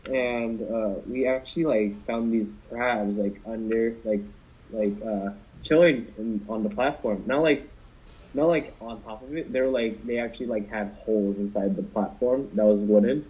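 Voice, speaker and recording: A man's voice: medium pitch, a little slow. A young man casually telling a story to friends on a podcast, rambling with many fillers and restarts. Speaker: podcast co-host. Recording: dull lo-fi podcast audio.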